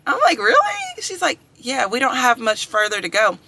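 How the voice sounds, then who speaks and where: A woman's voice: fairly high pitch, wildly swooping pitch, moderate pace, pushed and bright, husky, no echo. A woman in her thirties chatting upbeat to her viewers in a casual video diary, calling them y'all and guys. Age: 30s. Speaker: vlogger. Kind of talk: casual chat to camera.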